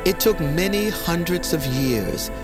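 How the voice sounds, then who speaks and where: Solemn old-legend storyteller, really slow but swooping delivery, fantasy audio drama. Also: male voice, a little high, lively intonation. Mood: solemn, storytelling. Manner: measured, solemn, formal storyteller phrasing. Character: solemn male lore keeper recounting an ancient fantasy legend. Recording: studio narration under loud music.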